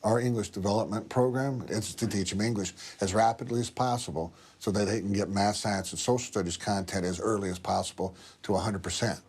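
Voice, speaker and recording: A man's voice: on the low side, fairly even intonation, brisk, few pauses, darkish tone. A man, a school superintendent, explaining policy in an interview in a firm, emphatic way.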